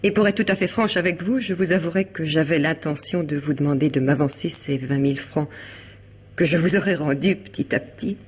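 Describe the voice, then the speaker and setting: A woman's voice: deep voice, a little fast, breathy edge. An actress playing an anxious, guarded married woman in a French radio mystery, speaking earnestly and hesitantly. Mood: worried, guarded.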